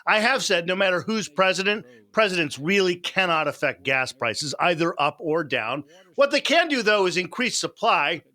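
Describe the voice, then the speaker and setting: Male news anchor, bright forceful voice, slightly high pitch, broadcast delivery. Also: sing-song, moderate pace.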